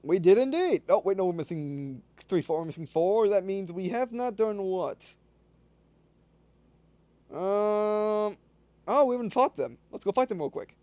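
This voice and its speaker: A man's voice: high voice, animated, a little slow, a little mellow, no room sound. A man giving casual gameplay commentary, muttering to himself as he plays. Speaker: let's play host.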